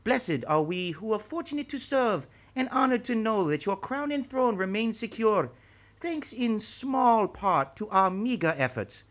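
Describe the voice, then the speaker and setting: A man's voice: high voice, big pitch swings, unhurried, fairly bright tone, voice pushed up, full character voice. A man voicing an ancient male martial arts master who speaks in ornate, courtly phrases. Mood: ceremonious.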